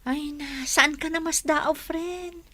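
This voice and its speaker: An actress with an Ilocano Filipino accent playing a frank young best friend who gives advice in a radio drama. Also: female voice, sits high, steady tone, slow, pushed and bright, no room sound, full character voice. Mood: concerned, advising.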